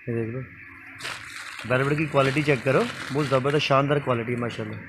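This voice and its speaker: A young man from Pakistan speaks at an ordinary, mid-height pitch, with a repetitive salesman's patter. He is recorded close on a phone inside a small shop packed with clothing bundles, with a faint shop hum underneath. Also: fairly even intonation, fast talker. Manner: repetitive salesman patter, urging viewers to look and call. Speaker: vlogger selling used clothing bundles. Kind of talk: casual sales vlog.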